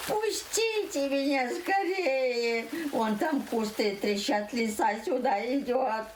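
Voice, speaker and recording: A woman voicing a frightened male hare who pleads in a high, panicked voice. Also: expressive, slow, reverberant, a little hiss or hum.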